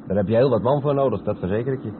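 An actor playing a calm, knowledgeable truck driver who explains patiently in a radio play. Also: male voice, medium pitch, rapid-fire, lightly acted. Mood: calm, instructive. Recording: tinny noisy old radio play recording.

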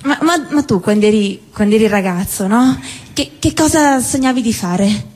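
A woman acting a wry, teasing, restless sweetheart in halting theatrical dialogue, repeating herself as she goes. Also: fairly high pitch, lively intonation, darkish tone. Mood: wry, hesitant. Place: theatre hall, live show. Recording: echoey hall, live stage recording.

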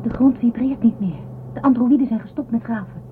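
A woman's voice: fairly high pitch, fairly quick, natural voice. A woman playing an earnest, concerned and alert space crew member in a science fiction radio play. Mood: uneasy. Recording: tinny phone-like audio with noise.

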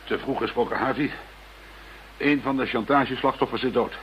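A man playing a worried, businesslike police investigator with a measured manner in a radio play. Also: mid-range voice, muffled recording, natural voice. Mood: grim. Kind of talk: Dutch radio play acting. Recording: hissy radio play recording.

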